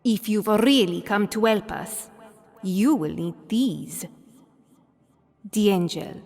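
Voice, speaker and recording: Wartime partisan leader, slow and determined, letter voice-over drenched in reverb. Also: female voice, medium pitch, lively intonation, a little mellow. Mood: resolute, defiant. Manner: deliberate, determined. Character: resolute, fierce Italian woman resistance leader. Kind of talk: dramatic letter voice-over in a video game.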